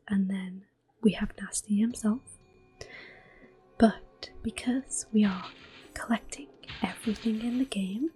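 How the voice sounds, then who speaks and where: A woman giving gentle, unhurried, soft whispered ASMR commentary on a game as the host. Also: medium pitch, rough recording.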